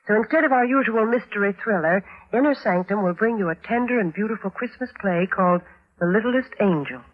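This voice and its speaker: A woman announcer in 1940s radio advertising style, with a polished, earnest sales delivery, on an old broadcast recording. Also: medium pitch. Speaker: sponsor's announcer and co-host. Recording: tinny, narrowband vintage radio recording.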